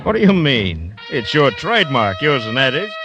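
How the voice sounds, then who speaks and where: An actor in 1940s radio style playing a brisk, dismissive man in a thriller. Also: mid-range voice, sing-song. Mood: pleased, puzzled. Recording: dull vintage radio recording with loud music.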